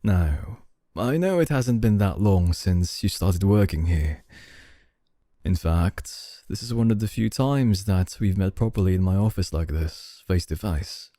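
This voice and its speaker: A man playing a suave, calm, quietly domineering young CEO in an ASMR audio roleplay, speaking softly with deliberate, formal courtesy. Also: no echo, studio quality. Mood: smooth, welcoming. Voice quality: dark, low, soft voice. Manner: slow, deliberate, smooth formal courtesy, soft chuckles. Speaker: voice actor.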